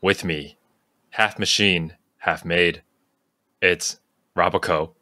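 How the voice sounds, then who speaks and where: Low, bright-voiced joking podcast host. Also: male voice, fairly even intonation, slow pace, dry recording, clean studio sound. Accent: Long Island. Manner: joking, playful, laughs often. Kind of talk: casual chat.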